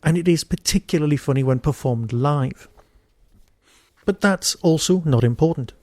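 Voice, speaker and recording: A man hosting a podcast, giving an informal solo review in a wry, digressive way with emphatic pauses. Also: on the high side, darkish tone, no room sound, clean studio sound.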